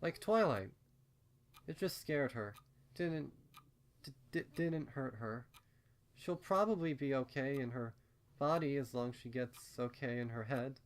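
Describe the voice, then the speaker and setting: A man's voice: medium pitch, unhurried, close to an everyday voice. A man voicing a nervous, rambling young female pony with a hesitant, stammering, earnest manner in a fan fiction story. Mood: uneasy, rambling. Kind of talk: acted fan fiction reading.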